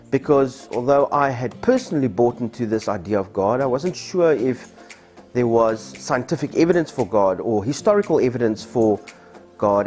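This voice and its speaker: A South African man in his forties, a native Afrikaans speaker, telling his church testimony in a candid, conversational way with frequent fillers. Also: medium pitch. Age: 40s. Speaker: church member giving a testimony. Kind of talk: personal testimony. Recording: soft music behind.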